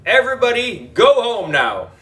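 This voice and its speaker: A man's voice: extremely high pitch, huge pitch swings, moderate pace, pushed and bright, far from the mic, voice pushed up, full character voice. A man voicing a pompous, long-winded mayor in a children's audiobook, declaiming like a politician at a podium. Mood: self-important. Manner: declamatory, droning political speechmaking. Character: pompous, long-winded male town mayor making speeches.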